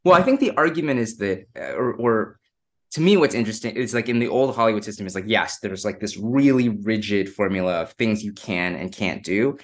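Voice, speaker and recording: A man's voice: on the low side, fairly quick. A man co-hosting a podcast, talking in a thoughtful, hedging way with many restarts. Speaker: podcast co-host. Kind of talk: casual chat.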